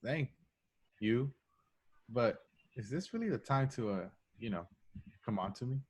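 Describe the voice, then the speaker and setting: A man's voice: medium pitch, big pitch swings, measured pace, darkish tone, husky. A man playing a wisecracking agent who bluffs as a doctor, hesitant, in an informal script read. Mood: awkward, bluffing.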